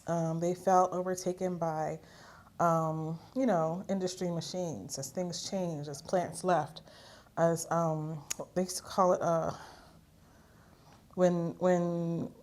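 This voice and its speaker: A woman's voice: fairly low pitch, steady tone, slow pace, a little mellow. Chatty storytelling woman guest. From Philadelphia, USA. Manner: storytelling with fillers and acted-out quotes. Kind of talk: conversational interview.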